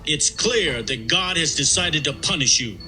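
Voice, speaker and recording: A man's voice: on the high side, lively intonation, a little slow, bright, forceful tone, full character voice. A man playing a stern prophet of God in a dramatised audio Bible, solemnly declaiming warnings. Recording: music bed behind the voice.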